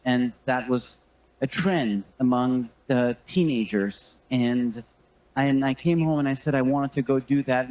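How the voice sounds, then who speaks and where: Halting man in his fifties giving testimony. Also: medium pitch, darkish tone, rough recording. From Iran. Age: 50s. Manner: hesitant, halting, earnest. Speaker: public speaker giving testimony. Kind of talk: personal testimony.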